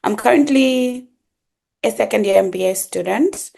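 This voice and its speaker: Gravelly-voiced young woman from Zimbabwe. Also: on the high side, warm tone. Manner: halting, many ums and restarts. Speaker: guest. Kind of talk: casual interview conversation.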